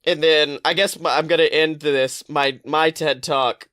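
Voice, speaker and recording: A man with a somewhat high-pitched voice talks as a podcast co-host. He chats casually about pop culture, rambling through stories in an animated way and swearing freely. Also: a little slow, background noise. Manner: animated, profane, rambling storytelling.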